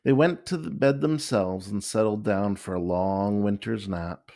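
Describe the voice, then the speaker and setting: A man reading a children's picture book and verse aloud with expressive, measured, dramatic phrasing. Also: on the low side, gravelly. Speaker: children's story reader.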